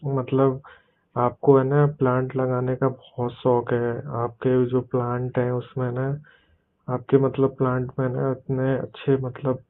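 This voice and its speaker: A man acts out a shy but suggestive younger brother in a phone-call drama. His voice sits at a middle pitch and stays fairly monotone, stumbling through hesitant phrases. The sound is narrow and muffled, like a call recording. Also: natural voice. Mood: bashful, confessing. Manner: hesitant, halting, padded with verbal tics. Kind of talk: improvised audio roleplay of a private phone call.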